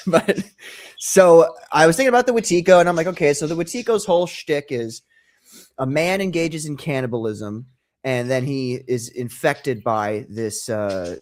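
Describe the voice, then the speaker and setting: A man who creates comics talks as a guest, winding through long stories with eager energy and frequent laughs. His voice sits at an ordinary middle pitch for a man. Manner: enthusiastic storyteller, rambling, laughs easily. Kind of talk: casual interview chat.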